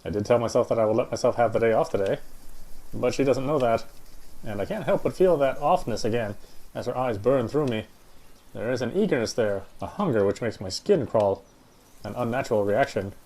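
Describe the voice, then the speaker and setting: A man's voice: medium pitch, animated, fairly quick, a little mellow. A man reading first-person visual novel narration aloud.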